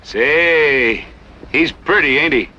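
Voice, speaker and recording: A man's voice: fairly high pitch, slow pace, pushed and bright, a little hiss or hum, exaggerated voice. An actor playing a sardonic, evasive husband in an old radio western, dry in his delivery. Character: wry, evasive husband refusing to testify. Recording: muffled, echoing old radio recording.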